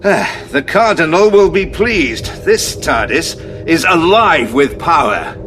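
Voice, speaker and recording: Loyal army general, clipped commands, high lively voice, score music behind. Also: male voice, slow pace, heavily acted. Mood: commanding. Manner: crisp, clipped military authority. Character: dutiful middle-aged man army general, loyal. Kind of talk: audio drama acting.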